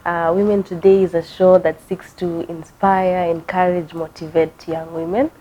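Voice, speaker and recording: A Kenyan woman hosts an interview, her voice mellow and on the lower side as she asks long, encouraging questions. She talks in a chatty, supportive way. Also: little pitch movement, normal speed, highs cut off, slight background noise. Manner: encouraging, chatty, frequent backchannel. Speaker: talk show host.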